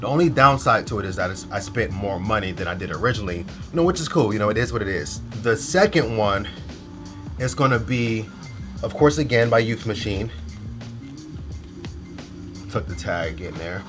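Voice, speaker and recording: A man's voice: fairly low pitch, expressive, normal speed, pauses often, music in the background. A young man with a cold and a stuffy, nasal voice, talking casually and loosely through a clothing haul with lots of slang and fillers. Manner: laid-back, slangy, rambling with fillers. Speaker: fashion vlogger.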